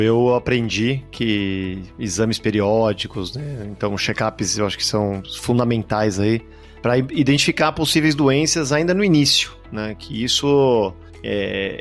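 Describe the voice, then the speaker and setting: A man hosting a health podcast, relaxed and friendly as he interviews a guest. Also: medium pitch. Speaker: podcast host. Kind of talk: casual health podcast chat.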